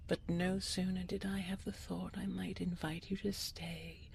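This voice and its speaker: A regal dragon queen, played by a woman, speaks in a low, breathy near-whisper for an intimate audio roleplay. She draws her words out very slowly, formal and coaxing, adoring yet controlling. Noticeable ambient noise sits behind her voice. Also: darkish tone, exaggerated voice. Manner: slow, formal, coaxing endearments.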